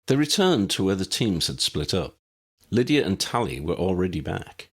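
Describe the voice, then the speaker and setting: A man reading a scripted fiction story as an audiobook narrator, with a husky, gravelly voice. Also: low-pitched, big pitch swings, normal speed, a bit bright.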